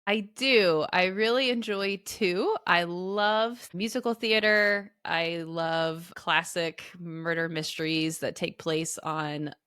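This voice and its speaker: A woman's voice: fairly low pitch, fairly even intonation, takes it slowly, few pauses, fairly bright tone, clean tone, dry recording, studio quality. A woman co-hosting a podcast in a friendly, conversational style. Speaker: podcast co-host.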